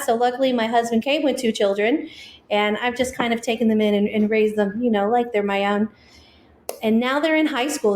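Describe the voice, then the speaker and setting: Woman co-host, slightly high voice, chatty and self-deprecating, roomy echo. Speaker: podcast co-host. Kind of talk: casual chat.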